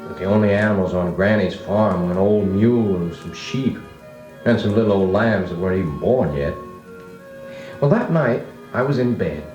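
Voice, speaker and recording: A man's voice: deep voice, normal speed, a little mellow, muffled recording, close to an everyday voice. A man playing a grown-up recalling his farm boyhood, narrating in a folksy, nostalgic American voice like an old radio drama. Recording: echoey vintage record with music.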